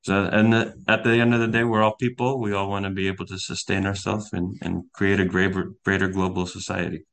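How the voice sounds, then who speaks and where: Low, flat-voiced young man explaining. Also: fairly quick, few pauses. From United States. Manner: earnest, explanatory, with small restarts. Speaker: guest journalist. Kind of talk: podcast interview.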